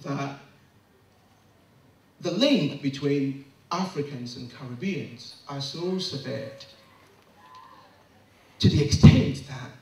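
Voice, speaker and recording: A man's voice: medium pitch, animated, takes it slowly, long pauses, darkish tone. A man speaking earnestly about raising children, with rising and falling emphasis. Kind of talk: impassioned monologue. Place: London. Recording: very echoey room, voice far from the mic.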